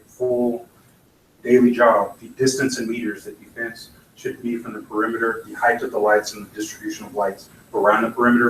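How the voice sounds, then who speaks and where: A man giving a blunt, opinionated conference talk with dry asides. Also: lowish voice. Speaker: conference speaker. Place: conference hall. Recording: echoey hall, mic from far off, faint noise.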